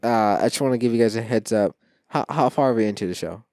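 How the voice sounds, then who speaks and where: A man's voice: medium pitch, fast, a little mellow, no echo. A man with a stuffy, allergy-clogged voice co-hosts a sports podcast in a loose, rambling way. He jokes around and often restarts his sentences. The sound is close and dry, with no room around it. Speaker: podcast co-host. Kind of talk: casual sports chat.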